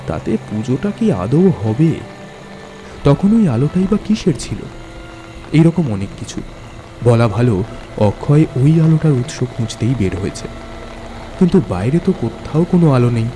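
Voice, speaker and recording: A man narrating a scripted ghost story as a dramatic storyteller. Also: medium pitch. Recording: music bed under narration.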